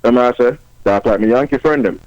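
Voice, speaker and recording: A man with a Jamaican accent talks casually in a mid-pitched voice. The recording is dry and slightly dull, with little room sound. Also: a little fast, darkish tone, no echo. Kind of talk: casual chat on a radio show.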